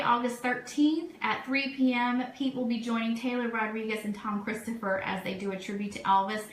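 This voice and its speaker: A woman hosts a casual radio show in a fairly high voice. She is chatty and enthusiastic, giving upbeat, informal announcements of events. The recording is quite echoey, with some background noise. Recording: roomy echo, steady background noise.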